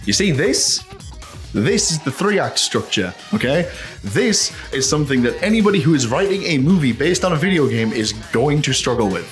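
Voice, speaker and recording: A man giving a casual, opinionated video essay in an animated, ranting manner, full of rhetorical questions and swearing. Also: on the high side. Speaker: host of a video essay channel.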